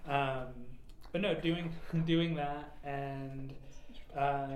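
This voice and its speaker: A man chatting casually as a podcast co-host, full of fillers and quick laughs. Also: a little high, steady tone, roomy sound, a little hiss or hum. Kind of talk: casual chat.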